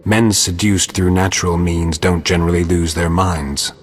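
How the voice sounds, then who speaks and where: A man playing a gruff, stoic monster hunter in a video game, speaking tersely and deadpan in a gravelly voice. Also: low-pitched, moderate pace, no echo, clean studio sound. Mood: dry, stoic. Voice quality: gravelly, husky. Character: gruff, stoic male monster-hunting witcher. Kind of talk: video game dialogue acting.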